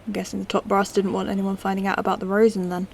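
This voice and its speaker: A woman's voice: medium pitch, flat delivery, fast, vocal fry, a little mellow, a little hiss or hum, natural voice. Casual, curious lieutenant played by a woman. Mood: puzzled. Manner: casual, muttering to herself. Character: curious, informal female lieutenant adrift in the future. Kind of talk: science-fiction audio drama acting.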